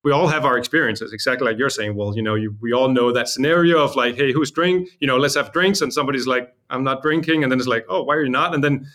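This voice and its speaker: A man's voice: mid-range voice, quick pace, hardly any pauses, a bit bright. Conversational man from Denmark, explaining informally. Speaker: interview guest, company founder. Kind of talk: conversational interview.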